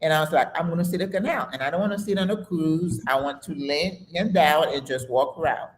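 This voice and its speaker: An older woman from Haiti, a retired nurse, telling stories in a relaxed, casual conversation with many fillers. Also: low voice, brisk.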